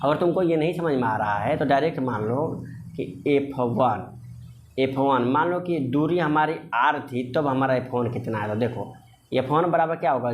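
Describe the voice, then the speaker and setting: A man's voice: mid-range voice, a little hiss or hum. A man teaching physics informally step by step, repeating himself and answering his own questions. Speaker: physics teacher for exam candidates. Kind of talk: informal explanatory lesson, working through numericals.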